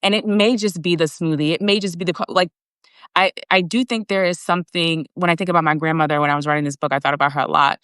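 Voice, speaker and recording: A woman with a notably low voice explains her thinking in an earnest, steady way. The sound is dry and close, as over a video call. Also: fast, clean tone, dry recording. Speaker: guest and author. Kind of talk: casual conversation on a live video.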